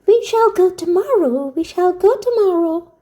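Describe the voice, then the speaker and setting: A woman's voice: very high-pitched, expressive, measured pace, mellow, voice pushed up. A woman voicing an eager, trusting little girl, tender and earnest, in a storybook reading. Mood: joyful.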